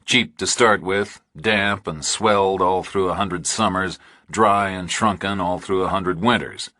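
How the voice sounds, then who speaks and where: A man narrating a thriller audiobook in a measured, precise, deliberate way. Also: low-pitched, steady tone, bright, forceful tone, no echo.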